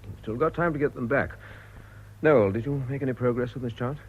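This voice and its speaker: An actor playing a brisk, inquisitive organiser with a British accent and a dramatic, probing manner in a radio drama. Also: male voice, mid-range voice, lightly acted. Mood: decisive. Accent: British English. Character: brisk, inquisitive man in charge, urbane organiser. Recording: dull lo-fi radio recording with noise.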